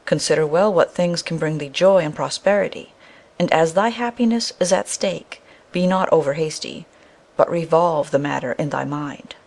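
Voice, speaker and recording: Lady doing a lordly thunder god from a myth, solemn grand old timey speech, some creak in it. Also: deep voice, moderate pace, a little mellow. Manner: grand, formal archaic proclamation.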